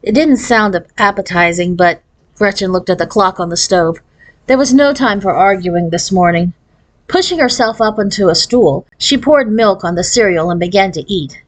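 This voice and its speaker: A woman narrating a children's novel as an expressive read-aloud. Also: mid-range voice, normal speed, low-quality recording. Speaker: storybook reader.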